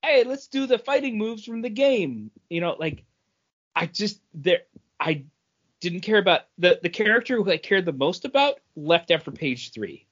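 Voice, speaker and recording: A man's voice: high voice, sing-song, moderate pace, fairly bright tone, muffled recording. A man co-hosting a comic book podcast in casual, opinionated chat, blunt and given to swearing and acting out quotes.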